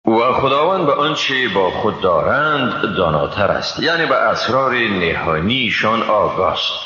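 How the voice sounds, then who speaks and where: A man with an Afghan Dari accent reads a scripture translation in a solemn, measured, formal declamatory style. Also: lowish voice, pushed and bright, slight background noise. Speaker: Quran translation reader. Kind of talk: solemn scripted religious reading. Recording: echoey hall reverb, slightly dull sound.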